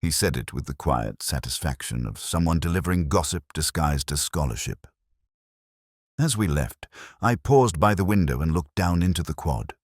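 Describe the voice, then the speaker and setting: Very deep, brooding detective played by a man. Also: lively intonation, no room sound, studio quality. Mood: brooding, reflective. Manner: measured, literary, brooding. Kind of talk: scripted first-person mystery narration.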